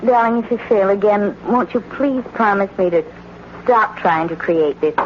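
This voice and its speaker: An actress playing a caring, anxious young woman, a scientist's daughter, gentle and polite, in a vintage radio drama. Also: medium pitch, moderate pace, close to an everyday voice. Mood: tender, anxious. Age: young adult. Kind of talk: 1940s-50s radio drama acting. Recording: dull, lightly noisy vintage radio recording.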